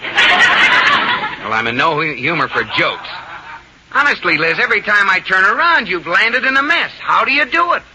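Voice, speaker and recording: An actor playing a grumbling but fond husband in an old-time radio comedy, scolding and exasperated. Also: male voice, high voice, big pitch swings, moderate pace, long pauses, sharp tone, heavily acted. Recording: noisy vintage radio recording.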